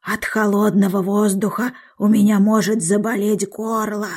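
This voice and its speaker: A woman voicing a fussy old female magpie with a slow, drawn-out delivery. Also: mid-range voice, little pitch movement, darkish tone, husky.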